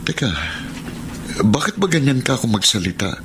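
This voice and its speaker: An actor playing an earnest, worried young boyfriend in a radio drama, hesitant and sincere. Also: male voice, mid-range voice, very animated, normal speed, close to an everyday voice. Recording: very noisy radio drama recording.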